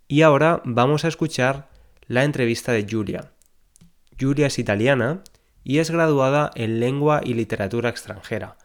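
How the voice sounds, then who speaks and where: A man hosting a podcast for learners, speaking in a clear, measured way. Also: medium pitch. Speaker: podcast host and Spanish coach.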